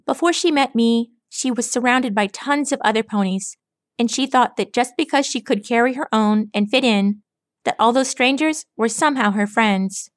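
Brash young pegasus mare, high and lively voice, teasing slangy talk, dry close sound, a woman reading her part. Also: moderate pace, no echo, close to an everyday voice. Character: brash, cocky young female pegasus pony.

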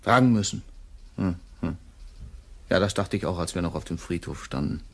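An actor in a radio play playing a middle-aged, deferential and quietly knowing long-serving shop employee with a husky voice. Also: male voice, deep voice, moderate pace. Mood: knowing, insinuating.

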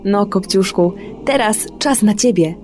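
A woman voicing a kindly, reassuring good fairy godmother for a children's fairy tale, brisk, warm and emphatic. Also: medium pitch, soft background music, voice pushed up, close to an everyday voice. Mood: brisk, cheerful.

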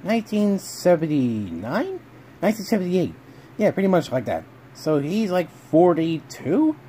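A man's voice: high-pitched, very animated, a little slow, warm tone, slight background noise. A man narrating his own casual home video, rambling with frequent restarts, recorded on a handheld phone at home.

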